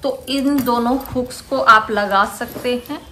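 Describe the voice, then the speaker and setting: A woman's voice: a little high, slow pace, pushed and bright, a little hiss or hum. A woman talking casually as she demonstrates a product, explaining each step. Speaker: vlogger reviewing a car bed. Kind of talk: casual product demo vlog. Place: inside a car.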